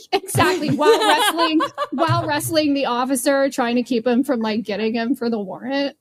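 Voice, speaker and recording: A woman's voice: high voice, normal speed. A woman co-hosting a podcast, telling true crime stories casually with a wry, giggly manner. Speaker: podcast co-host.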